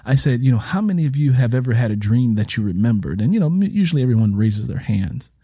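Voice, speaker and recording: A man's voice: medium pitch, big pitch swings, quick pace, dark tone, breathy edge, dry recording. A man speaking reflectively and conversationally as an interviewer and writer, with an emphatic manner that repeats phrases for stress. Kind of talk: reflective conversational interview talk about writing.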